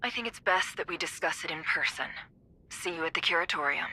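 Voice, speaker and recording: A woman voicing an assured, businesslike informant in a game, composed and deliberate. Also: lowish voice, bright, forceful tone, husky, full character voice.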